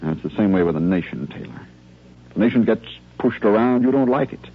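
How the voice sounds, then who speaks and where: A male actor playing an earnest army lieutenant who steadies his men in measured, rhetorical phrases, in a 1950s radio drama style. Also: lowish voice, lively intonation, husky. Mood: earnest, persuasive. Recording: muffled old 1950s radio recording.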